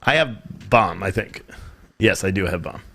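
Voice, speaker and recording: A man's voice: low-pitched, expressive, a little slow, bright, forceful tone. A middle-aged man chatting casually and teasing as he streams an online game, often laughing. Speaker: podcast host streaming an online shooter game. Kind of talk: casual chat while gaming live.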